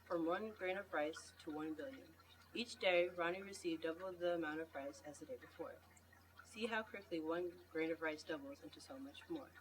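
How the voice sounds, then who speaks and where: A woman reading a storybook aloud, picked up by a distant mic with noise behind her. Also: deep voice. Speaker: reader at a read-aloud event. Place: school library. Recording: distant mic, noisy room.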